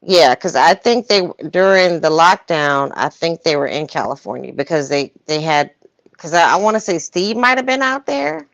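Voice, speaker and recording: A woman's voice: on the low side, lively intonation, moderate pace, no room sound. A woman giving casual, opinionated commentary on a livestream panel, thinking aloud and circling back over her phrases as she goes. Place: remote livestream call, dry sound. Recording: muffled lo-fi livestream audio.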